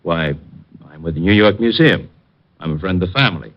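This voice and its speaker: Calm formal museum fellow, very low voice, old radio drama, muffled sound. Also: male voice, fairly quick. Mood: composed, dismissive. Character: composed male museum man, family friend. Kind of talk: 1940s radio drama acting. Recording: dull vintage radio recording.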